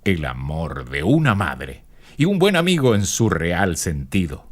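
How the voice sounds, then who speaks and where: An actor playing a suave, candid male film star answering in a dramatized magazine interview, with measured, courtly phrasing. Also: low-pitched, wildly swooping pitch, heavily acted.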